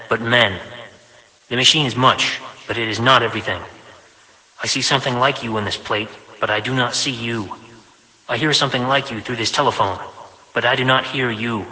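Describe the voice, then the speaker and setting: A man reading an audiobook, voicing a grave, earnest grown son in a steady, serious way. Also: medium pitch. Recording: long reverb.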